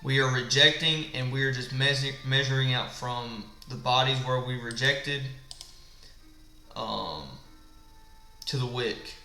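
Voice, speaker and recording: A man in an echoey room with a gravelly, smoker-like voice. He sounds tired late at night and walks through his trading setup, asking and answering his own questions. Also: mid-range voice, fairly even intonation, a little slow, pauses often, pushed and bright. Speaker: trading instructor. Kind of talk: live screen-share trading walkthrough. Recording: echoey room with faint background music.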